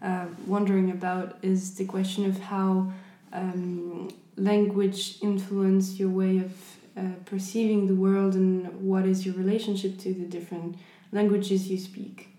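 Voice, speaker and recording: Woman host with a flat, mellow voice. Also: medium pitch, measured pace, roomy sound. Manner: hesitant, searching questions. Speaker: podcast host. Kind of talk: interview questions.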